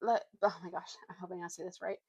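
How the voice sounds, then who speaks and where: A woman's voice: fairly low pitch, fairly even intonation, fast, dark tone, breathy edge, no room sound. Woman reading a story aloud, dry sound. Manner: informal, often stumbling and correcting herself. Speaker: reader. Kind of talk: storybook read aloud, with self-corrections.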